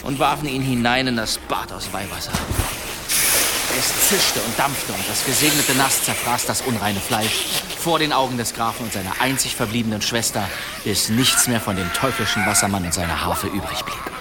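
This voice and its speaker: A man's voice: mid-range voice, moderate pace, loud music behind the voice, natural voice. An actor playing a boastful mercenary demon hunter, telling his tale with theatrical suspense in a German radio play. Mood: dramatic, boastful.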